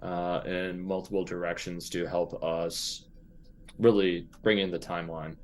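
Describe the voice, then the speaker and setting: Wry, lowish-voiced man playing a tabletop game. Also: little pitch movement, a little slow. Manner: wry, joking. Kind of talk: casual game banter.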